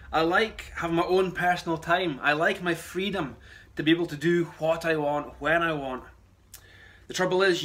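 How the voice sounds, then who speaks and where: Man in his thirties, bright forceful voice, slightly high pitch, conversational sermon, earnest. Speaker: pastor. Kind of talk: sermon, conversational address to camera. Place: small bright room at home. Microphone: phone a short distance away.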